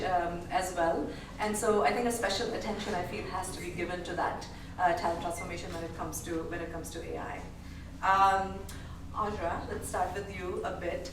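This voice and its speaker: A woman moderating a panel discussion, speaking with many fillers and restarts. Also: mid-range voice. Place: large echoey lounge. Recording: echoey room from far off, noisy.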